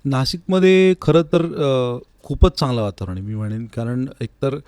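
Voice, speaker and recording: A man's voice: medium pitch, normal speed, darkish tone, smooth, dry recording. A man answering interview questions in an earnest, conversational way, repeating words for emphasis. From Maharashtra, India. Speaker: interview guest, classical vocalist. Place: recording studio.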